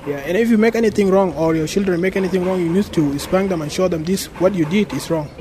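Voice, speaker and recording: A man's voice: high voice, fast, mellow, slight background noise. A young man from South Sudan with a South Sudanese accent, answering in a casual street interview outdoors. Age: young adult. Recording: busy outdoor street with crowd.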